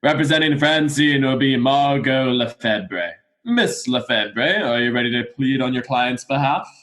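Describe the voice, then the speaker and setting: An officious fish judge, acted by a man in a radio play, presiding over an undersea court. His mid-pitched voice is measured and dry, with formal courtroom phrasing. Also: animated, fairly bright tone. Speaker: actor.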